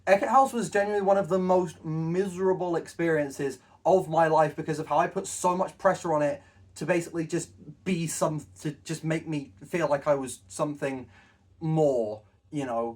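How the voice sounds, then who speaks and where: A man's voice: a little high, steady tone, moderate pace, far from the mic. A man co-hosting a casual chat, rambling and self-deprecating, with a lot of stumbling restarts. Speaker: co-host.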